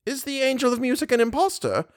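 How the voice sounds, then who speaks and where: A fretful old woman from a storybook, acted out by a male reader, kindly and simple. The voice is lifted high and swings widely in pitch, with an exclaiming, worried manner. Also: big pitch swings, quick pace, no room sound, studio quality. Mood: alarmed, pleading. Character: anxious elderly widow, kindly, simple adoptive mother.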